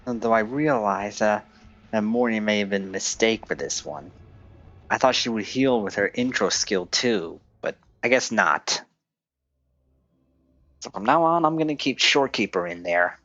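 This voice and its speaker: Low, bright-voiced man streaming. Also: expressive, normal speed, no room sound, faint music behind. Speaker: video game livestreamer. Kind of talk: casual gameplay commentary. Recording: close, dry, slightly dull mic.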